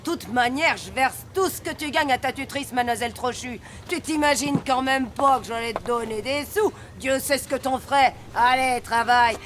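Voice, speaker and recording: A woman's voice: high voice, natural voice. A woman voicing a mean, middle-aged café owner in a French cartoon, scolding and sneering with sarcasm.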